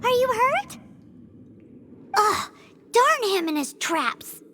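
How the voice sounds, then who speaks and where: A woman voicing a small, plucky, loyal girlish companion in an anime game, with a squeaky childlike voice. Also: big pitch swings, slow pace, bright, forceful tone, slight background noise, full character voice. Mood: concerned, annoyed.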